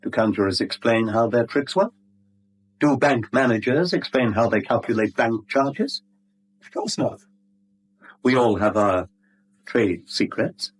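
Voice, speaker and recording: An actor playing a smug middle-aged blackmailer in a 1970s radio drama, suave, wordy and mockingly courteous. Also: male voice, mid-range voice, lively intonation, measured pace, pauses often, slight background noise, natural voice, rough recording. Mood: gloating, amused. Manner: suave, wordy, mock-polite, teasing.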